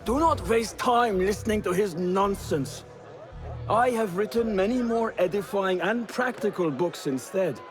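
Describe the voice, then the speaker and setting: Snooty scholar, high pitched for a man, formal, game cutscene w faint music. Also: a little slow. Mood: proud, scornful. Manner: self-important, formal. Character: vain, scornful established male scholar of astronomy. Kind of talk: video game cutscene acting.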